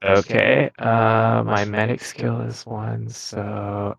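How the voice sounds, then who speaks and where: A man's voice: fairly low pitch, level tone, slow pace, gravelly, no room sound. A man playing a tabletop game, asking questions and hesitating often. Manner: hesitant, many "uh"s. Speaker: player. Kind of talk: casual tabletop play.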